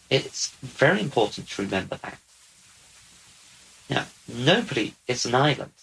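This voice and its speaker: A man speaking as a channeled otherworldly male being, slow, deliberate and repetitive. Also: a little high, creaky, a bit bright, slight background noise, exaggerated voice, rough recording. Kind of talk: channeled spiritual talk.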